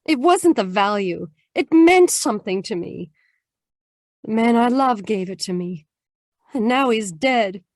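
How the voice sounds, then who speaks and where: A woman's voice: on the high side, animated, measured pace, no echo, studio quality. An actress playing a proud, troubled married woman with secrets in a noir radio drama, with an emotional, haughty delivery. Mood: grieving, bitter. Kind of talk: noir radio-play acting.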